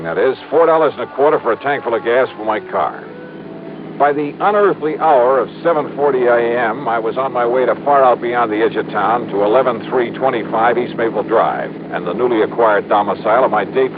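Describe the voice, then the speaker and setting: An American actor playing a wry, flirtatious insurance investigator in a 1950s radio detective drama, with slangy, breezy delivery. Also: male voice, mid-range voice, natural voice. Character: wisecracking, flirtatious male freelance insurance investigator. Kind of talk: 1950s radio detective acting, first-person narration. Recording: muffled, noisy old-time radio recording.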